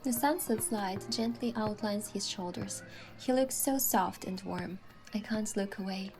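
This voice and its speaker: A woman reading scripted first-person story narration as the heroine, with a gentle, sentimental delivery. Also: mid-range voice, unhurried, over music.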